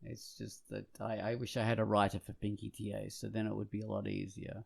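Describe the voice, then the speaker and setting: A man chatting casually as a podcast host, rambling and halting as he goes, growing animated when enthused. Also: fairly low pitch, creaky. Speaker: podcast host and comic artist.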